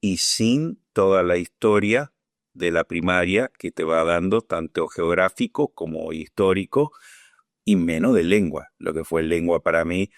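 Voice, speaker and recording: Older Argentine man, very low voice, dry close sound, reflective pace for interview testimony. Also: no echo. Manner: measured, reflective, searching for words. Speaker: Falklands War veteran interviewee.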